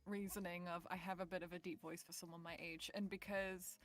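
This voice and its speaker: A young British woman answering interview questions in a soft, approachable, giggly way. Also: on the low side, little pitch movement, fairly quick. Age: young adult. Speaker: voice actor guest.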